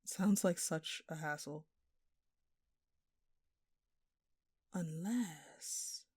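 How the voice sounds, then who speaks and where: Woman playing a smug, flirty thief, low mellow voice. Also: breathy edge, no room sound, studio quality. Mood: sly, mock-innocent. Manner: teasing, sly, mock-innocent. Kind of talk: audio roleplay acting addressed to the listener.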